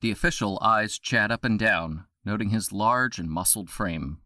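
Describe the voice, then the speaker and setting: A man narrating an audio drama in steady, scripted storytelling. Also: lowish voice, moderate pace, dry recording, clean studio sound.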